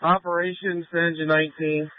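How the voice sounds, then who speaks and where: A man's fairly high voice comes through a thin, narrowband two-way radio. He is a fire operations officer giving clipped, procedural instructions in emergency radio traffic. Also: flat delivery, fairly quick, dry recording. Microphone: radio handset.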